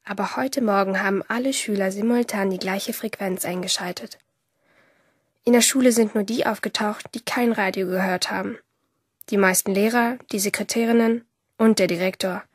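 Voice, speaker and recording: A woman's voice: mid-range voice, steady tone, moderate pace, husky, dry recording, studio quality, close to an everyday voice. An actress playing a shy, lovestruck teenage schoolgirl, narrating confidingly in a radio play. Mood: wry. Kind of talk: first-person radio drama narration.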